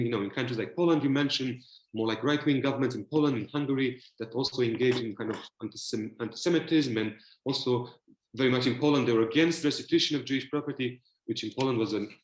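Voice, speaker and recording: Romanian-accented man on an echoey video call. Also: mid-range voice. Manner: thoughtful, hesitant, many fillers and restarts. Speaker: panelist. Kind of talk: virtual panel discussion.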